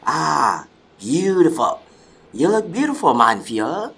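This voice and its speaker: An actor playing a doting, sardonic henchman to an undead villain in an audio comedy drama, with a husky voice. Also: medium pitch, big pitch swings, takes it slowly, fairly bright tone, a little hiss or hum, heavily acted. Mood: doting, fussing.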